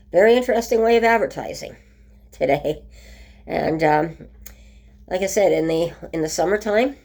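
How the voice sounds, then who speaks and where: A woman talking casually in a home video update, her voice rough from a cold, full of ums and restarts. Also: sits low, dark tone. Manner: chatty, many ums and restarts. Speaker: vlogger. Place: at home by a window.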